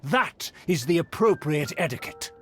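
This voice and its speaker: An actor playing a pompous, disdainful aristocrat who lectures in formal, theatrical speech for a video game. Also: male voice, fairly high pitch, lively intonation, measured pace, a bit bright. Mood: haughty. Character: haughty, pompous nobleman of a declining aristocratic clan. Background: background music.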